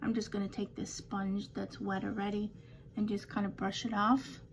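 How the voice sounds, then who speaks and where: Older woman, slightly raspy, rambling casual tutorial talk, faint background hiss. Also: mid-range voice, level tone, moderate pace. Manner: chatty, rambling, self-deprecating asides. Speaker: beauty vlogger.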